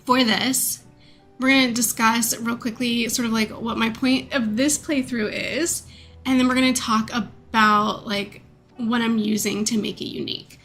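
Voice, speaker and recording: A woman's voice: fairly high pitch, steady tone, measured pace, fairly bright tone, faint music behind. Chatty stuffed-up woman streamer, nasal. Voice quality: a bit croaky. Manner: chatty and rambling, many fillers and laughs. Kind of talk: casual chat with viewers.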